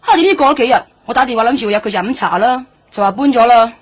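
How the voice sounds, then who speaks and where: A streetwise, guarded nightlife hostess in a Cantonese radio drama. Her voice is on the high side, and she talks bluntly in offhand slang with a flippant, jaded edge. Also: fast, slightly rough. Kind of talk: radio drama acting, colloquial dialogue.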